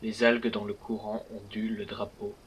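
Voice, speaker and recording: A man reciting scripted verse in a measured, declamatory way, pausing between phrases. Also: lowish voice, level tone, a little hiss or hum, poor audio.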